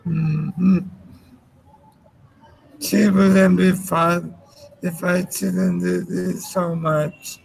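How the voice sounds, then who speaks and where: Man student, halting slow reader, high, flat, gravelly voice. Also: low-quality recording. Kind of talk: reading sentences aloud in class.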